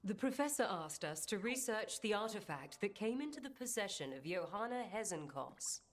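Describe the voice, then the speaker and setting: A woman's voice: mid-range voice, big pitch swings, moderate pace, lightly acted. A woman voicing a composed, scholarly necromancer in a fantasy video game, speaking formally as she explains. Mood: composed, serious. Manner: formal, expository. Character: composed, scholarly female necromancer briefing allies.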